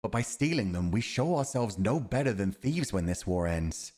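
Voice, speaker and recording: Casting: man to play honourable royal army commander, fantasy roleplay, fairly deep, formal and fervently expressive. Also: moderate pace. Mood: skeptical. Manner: formal, emphatic, righteous. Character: principled, earnest male army commander advising a monarch. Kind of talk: fantasy audio roleplay acting.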